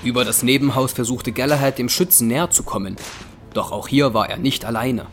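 A man's voice: medium pitch, steady tone, fairly quick, a bit bright, soft background music. A man narrating an audio drama from a script.

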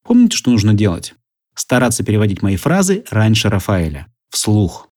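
A man teaching a language course, giving calm, methodical instructions and prompting translations. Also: fairly low pitch, moderate pace. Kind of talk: instructional explanation.

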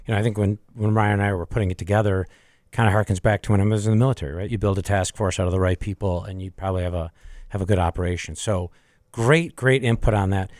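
A man's voice: fairly low pitch, steady tone, fast, darkish tone, no room sound, studio quality. A man hosting a podcast in an easygoing way, steering the talk with questions. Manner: easygoing, guiding the conversation with questions. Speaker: podcast host. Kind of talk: casual podcast conversation.

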